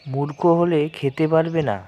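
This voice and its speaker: A man's voice: on the high side, steady tone, normal speed, lo-fi sound, slight background noise, lightly acted. Honest simple crocodile dad, a man voicing him, plain earnest cartoon voice. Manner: plain, earnest, calling out loudly.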